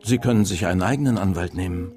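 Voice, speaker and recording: An actor playing a matter-of-fact, persistent police inspector in a radio crime drama, questioning formally. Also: male voice, sits low, fast, a little mellow, faint music behind, close to an everyday voice. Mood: firm, polite.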